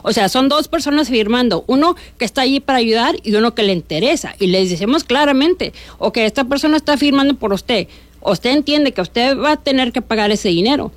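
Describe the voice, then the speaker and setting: A woman's voice: fairly high pitch, lively intonation, fairly quick, no room sound. Chatty Mexican-born woman, dry close sound. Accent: northern Mexican. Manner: chatty, frequent fillers and restarts. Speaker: bail bond agent guest. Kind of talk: casual radio interview.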